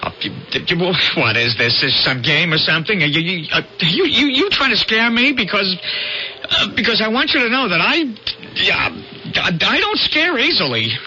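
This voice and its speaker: Cocky jittery astronomer in old radio play, highish lively voice, jealous type, dull old recording. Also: male voice, normal speed, a little hiss or hum, exaggerated voice. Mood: frightened, stammering. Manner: sardonic, stammering when rattled. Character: boastful, jealous young astronomer, jittery under his bluster. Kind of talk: old-time radio drama acting.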